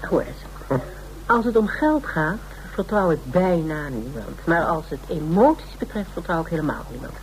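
Shrewd, low-voiced female private investigator. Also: big pitch swings, normal speed, darkish tone. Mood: businesslike, wary. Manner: matter-of-fact, lecturing, self-assured. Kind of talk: Dutch radio play acting. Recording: noisy radio play recording.

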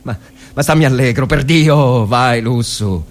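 A man acting an agitated army lieutenant in a dramatic story reading, urging a frightened soldier on with hurried, pleading repetition. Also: mid-range voice, lightly acted. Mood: anxious urging.

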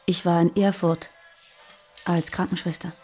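A woman's voice: fairly low pitch, little pitch movement, measured pace, a little mellow, faint music behind, natural voice. An actress playing a guarded, earnest woman on the run in a naturalistic radio play. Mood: matter-of-fact. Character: guarded, frightened fugitive terrorist woman living under false names. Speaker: radio drama actor.

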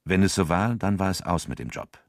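A man's voice: low-pitched, expressive, a little fast, no echo, studio quality. A man narrating a crime radio drama from a script as its storyteller.

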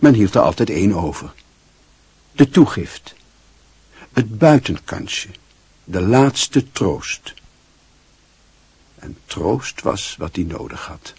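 A man's voice: on the low side, very animated, takes it slowly, pauses often, darkish tone, husky, dry recording, exaggerated voice. A man giving wry, scripted literary narration in a Dutch radio play. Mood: wry, reflective.

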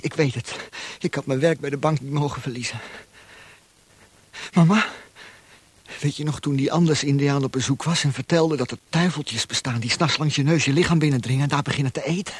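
A man playing a tortured prisoner in a radio play, frightened and desperate, with halting, pleading, anguished speech. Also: fairly high pitch, husky, no echo. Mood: feverish, anguished.

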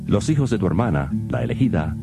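A man with a strikingly low voice reads scripture in a solemn, measured way. His delivery swings widely in pitch and sinks to a hush at verse endings. Clear guitar music plays beneath him. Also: big pitch swings. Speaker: Bible reader. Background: plucked guitar music.

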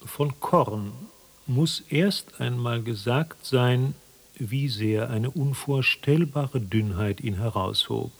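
A man narrating a literary radio drama in a measured, formal, dryly ironic manner, with a slightly husky voice. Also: mid-range voice. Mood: detached, wry. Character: detached, wry literary narrator of an absurd tale.

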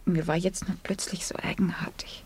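A hurt, bitter character in a radio drama. Her voice is very breathy and husky, on the low side, often sinking toward a halting hush. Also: little pitch movement, whispered. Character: wounded, bitter woman standing up to her man. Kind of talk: radio play acting.

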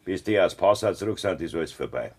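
An actor with a Bavarian accent playing a cruel, scheming farmer husband in a 1970s radio play, with a gravelly, husky voice. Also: male voice, sits low, animated, fast talker. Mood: menacing.

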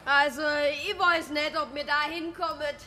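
An actress with a Swabian accent playing an earnest, modest deaconess in a radio drama, speaking in a homely way. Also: female voice, high voice, fairly even intonation, moderate pace, a little hiss or hum. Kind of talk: radio drama dialogue and narration. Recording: loud music under the voice.

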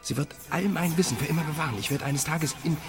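A man playing an earnest, visionary AI programmer in a radio drama, speaking gently and a little hesitantly. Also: on the high side, fast talker, close to an everyday voice. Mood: enthusiastic. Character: earnest, visionary male AI programmer, devoted to his creation. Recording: long reverb, music behind.

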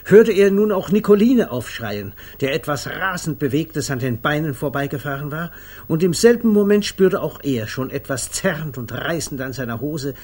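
A man narrating a 1950s German literary radio play with expressive, precisely phrased storytelling. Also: fairly high pitch, normal speed, husky.

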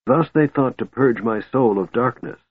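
A man voicing a frail, cold male mage in a storybook, with a soft, shattered whisper and calm, deliberate menace. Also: mid-range voice, husky, no room sound, narrow, tinny audio, voice pushed up, natural voice. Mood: calm, bitter.

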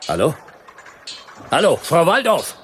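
A man's voice: a little high, wildly swooping pitch, measured pace, a bit bright, slight background noise, exaggerated voice. A man playing a wry, unimpressed private detective in radio play dialogue, speaking tersely and sardonically. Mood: sardonic.

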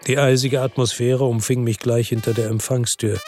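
A man's voice: mid-range voice, flat delivery, over loud music, natural voice. A man playing a brooding investigator narrating. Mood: somber. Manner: slow, somber narration. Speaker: first-person narrator. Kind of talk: radio drama narration.

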